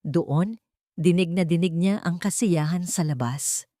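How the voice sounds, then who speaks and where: A woman's voice: on the low side, a little slow, warm tone, no echo, studio quality. A woman narrating a scripted dramatic story as a storyteller.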